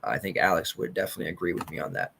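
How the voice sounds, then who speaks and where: A man in his thirties, losing his voice and clearing his throat, rambling casually through sports betting picks. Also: deep voice, big pitch swings, racing, pushed and bright, a little hiss or hum. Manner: loose, rambling, confident picks with filler and restarts. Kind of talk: casual solo podcast commentary.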